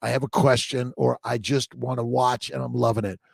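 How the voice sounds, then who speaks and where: Gravelly American man, motivational livestream host. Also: medium pitch, little pitch movement, brisk, no echo, clean studio sound. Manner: exhortative, repeats short phrases, drifts between reading and asides. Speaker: host, fertility doctor. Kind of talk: informal morning livestream, motivational chat with read-aloud passages.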